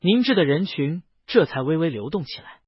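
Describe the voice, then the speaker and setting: A man narrates fiction dramatically, his fairly high voice swinging widely in pitch. The sound is very dry and slightly dull, and the voice has a husky edge. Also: very animated, a little fast, dry recording. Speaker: audiobook narrator. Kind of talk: dramatic scripted story narration.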